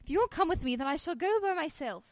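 A woman's voice: very high-pitched, animated, fast talker, a little mellow, no echo. A woman acting an anxious but stubborn wife in an old-time radio play. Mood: defiant. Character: Pamela Brent, anxious but stubborn young English wife.

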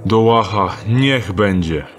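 Man voicing a wary rogue, low voice, slow measured skeptical delivery, clear music behind. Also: expressive. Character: wary young male rogue Dieter, dry and calculating. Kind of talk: audio drama acting.

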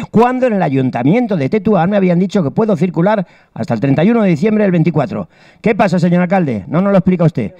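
Blustering older man with a Madrid Spanish accent. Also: sits high. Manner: loud, blustering, joking. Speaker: guest. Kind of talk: comic ranting banter. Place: bar with wine shelves, indoors. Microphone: handheld mic.

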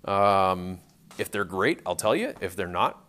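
A man's voice: sits low, lively intonation, a little slow, fairly bright tone. A man in his thirties talking casually and unscripted as a vlog host in a garage workshop, explaining technical things in an offhand, dryly joking way. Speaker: host of a car restoration vlog. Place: large cluttered indoor garage workshop.

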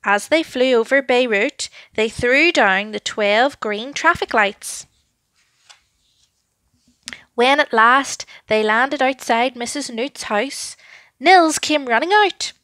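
A woman narrating a children's story with an expressive, deliberate, dramatic delivery. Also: a little high, dry recording, studio quality.

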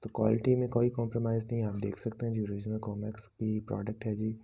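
A man with a Pakistani accent presenting a product unboxing as a shop's sales pitch, politely and in formulaic patter mixed with English words. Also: monotone, quick pace, gravelly, background noise. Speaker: online shop presenter.

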